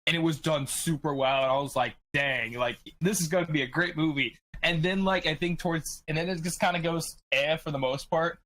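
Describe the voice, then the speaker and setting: A man with a fairly high voice sits in on a casual podcast discussion. He talks in a loose, rambling way, sprinkling "like" through his sentences. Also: fairly quick, few pauses, fairly bright tone. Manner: rambling, peppered with "like". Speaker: podcast panelist.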